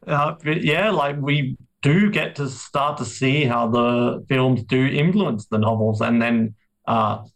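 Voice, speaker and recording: A man with a middle-pitched voice talks as a podcast co-host. His delivery is casual and conversational, like an informal discussion among peers. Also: takes it slowly, fairly bright tone, poor audio.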